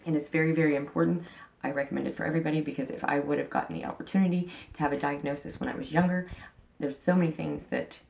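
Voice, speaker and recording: A woman's voice: low-pitched, fairly even intonation, rapid-fire, vocal fry, hardly any pauses, highs cut off. A woman in her thirties talking frankly in a casual interview, rambling with many fillers and restarts. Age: 30s. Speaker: interviewee, channel host.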